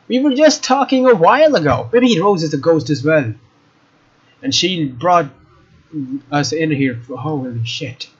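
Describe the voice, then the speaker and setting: A man's voice: a little high, lively intonation, unhurried, slightly rough. A young man doing a let's play, reading a visual novel aloud and adding casual commentary. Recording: dull lo-fi mic, faint noise.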